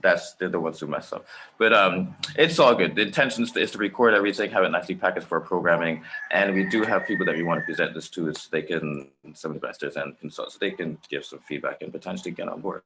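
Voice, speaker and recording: A man from Jamaica hosting a casual chat on a video call, halting and often repeating his phrases. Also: deep voice, sharp tone, a little hiss or hum, rough recording. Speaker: host.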